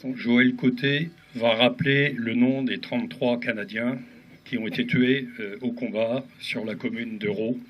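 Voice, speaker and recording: A man announcing the order of a memorial ceremony outdoors, speaking haltingly and switching between French and English. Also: medium pitch, steady tone, husky, muffled recording. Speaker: ceremony organizer.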